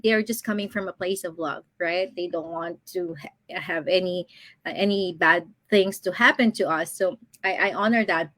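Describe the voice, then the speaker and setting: A woman's voice: mid-range voice, fairly bright tone, no echo. A woman in her thirties, a guest on an interview show, chatting casually about her own experience and laughing often between thoughts. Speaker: guest, online business coach.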